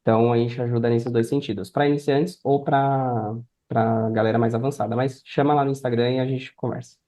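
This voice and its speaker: A Brazilian man talks as a guest on an interview podcast. His voice sits at a middle pitch with a relaxed, mellow tone. He explains in a conversational, mentoring way. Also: a little fast.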